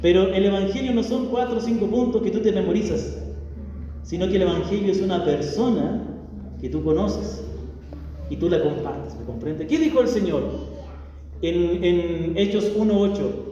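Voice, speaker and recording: A man with a Chilean accent preaches in a high-pitched, warm, mellow voice. He keeps his sermon chatty and light, throwing rhetorical questions at the pews. The sound reaches the mic from a distance, with a long, echoey church-hall reverb. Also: normal speed, lo-fi sound, slight background noise. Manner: chatty, joking, full of rhetorical questions to the congregation. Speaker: pastor. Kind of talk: conversational sermon.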